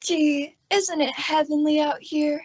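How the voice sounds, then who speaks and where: A woman's voice: sits high, steady tone, slow pace, darkish tone, no echo. A woman playing a sweet, dreamy young woman in an old-time radio drama. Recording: dull, lo-fi audio.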